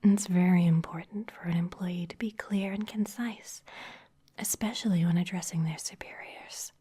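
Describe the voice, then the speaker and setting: A woman's voice: fairly low pitch, steady tone, normal speed, warm tone, breathy edge. A woman playing a seductive, domineering vampire office boss in an ASMR roleplay, whispering breathily in a teasing, commanding manner. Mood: commanding, hungry.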